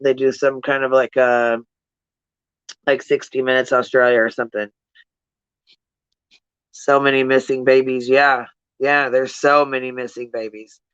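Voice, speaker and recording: A livestream host talks casually to viewers in blunt, slangy language full of swearing, circling back to hammer points home. The delivery is monotone and the voice is clean and smooth. The recording is dry and somewhat dull. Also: medium pitch, measured pace, long pauses, no room sound. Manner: blunt, profane, slangy, repeats points for emphasis. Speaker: livestream host giving commentary.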